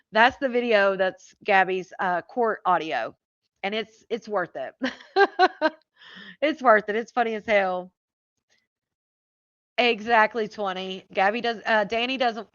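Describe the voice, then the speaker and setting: A woman's voice: mid-range voice, a bit bright, no echo, muffled recording. A woman hosting a long livestream, chatting casually with viewers and answering their comments, her voice a little tired after hours on air. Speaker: live stream host. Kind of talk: casual chat with live viewers.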